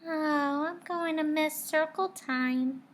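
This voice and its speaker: A woman's voice: sits high, steady tone, slow, a little hiss or hum, voice pushed up. A woman voicing a sentimental, affectionate storybook schoolteacher in a wistful, sighing manner.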